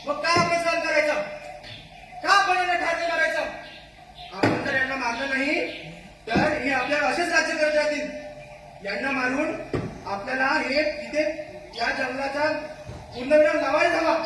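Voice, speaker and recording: A fierce jungle creature out for revenge , acted by a man on stage, declaims in a very high voice. The speech is accusing and full of rhetorical questions. It rings out from far off in a very echoey space with clear background noise. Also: moderate pace, long pauses. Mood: bitter, rousing. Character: vengeful, fierce jungle animal who wants captive humans killed. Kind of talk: Marathi one-act stage play acting. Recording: echoey hall from far off, noisy.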